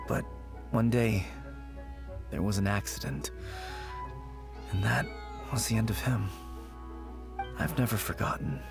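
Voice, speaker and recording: An actor voicing a worried young man in a video game who is haunted by a lost childhood friend, speaking softly and hesitantly. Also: on the low side, gravelly, heavily acted. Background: game music.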